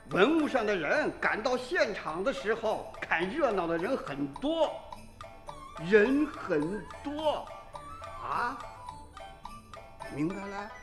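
An actor playing a blustering, exasperated rural village head in a Chinese radio drama, talking in a folksy, roundabout way. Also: male voice, high voice, full character voice. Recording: roomy echo, faint music behind.

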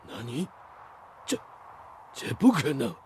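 A Japanese engineering expert in a radio drama, formal and probing. His mid-pitched voice swings dramatically in intonation, over clearly audible background noise. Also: very animated, slow pace, a little mellow. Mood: incredulous. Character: Japanese male engineering expert, astonished then respectful.

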